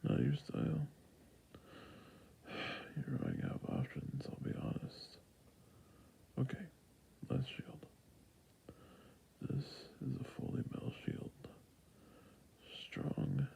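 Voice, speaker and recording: A man playing a friendly, patient fantasy shopkeeper in an ASMR roleplay, speaking in a soft, breathy near-whisper with creaky endings and a gentle sales manner. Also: mid-range voice, exaggerated voice, rough recording. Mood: mildly doubtful.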